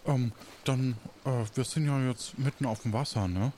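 Male game master, medium pitch, halting delivery with tag questions, slight ambience behind him. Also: dark tone, slight background noise. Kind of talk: improvised audio-play narration.

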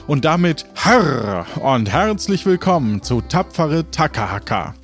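A man's voice: fairly high pitch, big pitch swings, a little slow. A man hosting and narrating an improvised audio adventure as game master. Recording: music bed under the voice. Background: music.

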